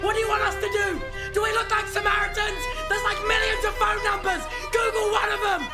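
A man, a streamer, yelling an exasperated rant in a played clip. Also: very high-pitched, level tone, a little fast. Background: loud violin music.

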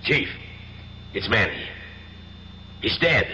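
Slow, deep police sergeant. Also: male voice, sing-song, bright, forceful tone, exaggerated voice. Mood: grim. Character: subdued male police sergeant. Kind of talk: 1970s radio drama acting. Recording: dull, slightly noisy 1970s radio recording.

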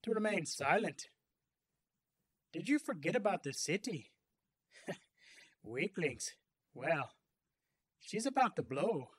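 A man's voice: high voice, big pitch swings, takes it slowly, long pauses, slightly rough, dry recording, voice pushed up, heavily acted. A man voicing a taunting, menacing male supervillain crime boss in an audiobook. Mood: gloating. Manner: menacing, gloating. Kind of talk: audiobook villain dialogue.

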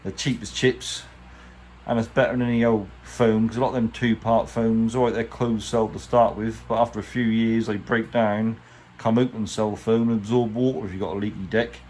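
Low-voiced English man, noisy background. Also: flat delivery, moderate pace, darkish tone. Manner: colloquial, chatty, plain-spoken. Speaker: boat restorer presenting a how-to. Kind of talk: casual hands-on tutorial talk. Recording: clear background noise, handheld workshop recording.

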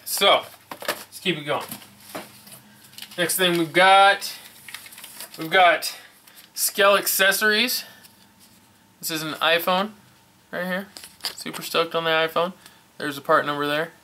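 A man's voice: a little high, a little slow, long pauses, bright, forceful tone, slight background noise. Enthusiastic man unboxing hobby parts. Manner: enthusiastic, repeats "super stoked". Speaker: RC hobby vlogger. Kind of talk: casual unboxing vlog. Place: indoor hobby workshop bench. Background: package crinkling and clicks.

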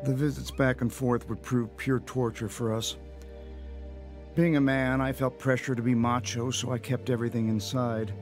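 A man's voice: mid-range voice, fairly even intonation, moderate pace, over music, natural voice. A man acting a guilt-ridden, guarded young father in an audio drama, confessional and macho. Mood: somber, reflective. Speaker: lead actor and narrator. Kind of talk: audio drama acting and first-person narration.

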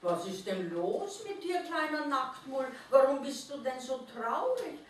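A woman voicing a wise, kindly female owl who gently comforts a friend. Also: high voice, very animated, measured pace, pitched above the performer's own voice. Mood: comforting. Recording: roomy reverb, distant mic, faint noise.

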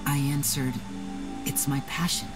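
An actor playing a reflective, guarded young stage magician in a video game, speaking in measured, articulate phrases. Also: mid-range voice, steady tone, music in the background, lightly acted. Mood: reflective, wistful. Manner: measured, articulate, theatrical storytelling. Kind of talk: scripted video game dialogue.

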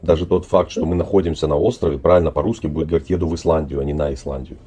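Middle-aged guy, super deep dark voice, webcam chat, acting all innocent. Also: steady tone, husky. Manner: feigned naive, drawn-out questioning. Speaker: video chat participant. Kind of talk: casual video chat. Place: indoor room.

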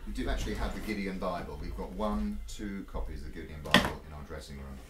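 A man's voice: deep voice, fast talker, over loud music. A man, an actor in a touring show, chatting casually and jokingly backstage. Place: theatre backstage and dressing rooms. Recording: echoey backstage, distant mic, loud noise.

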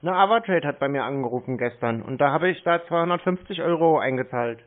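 A man's voice: fairly high pitch, expressive, fast talker. Man on a tinny phone line. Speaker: scam-baiting show host posing as a customer on the phone. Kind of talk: casual phone conversation.

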